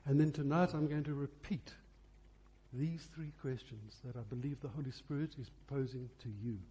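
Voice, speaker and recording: Husky male preacher in a reverberant hall. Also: medium pitch, steady tone, dark tone. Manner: earnest, deliberate, repeats questions with emphasis. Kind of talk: church revival sermon.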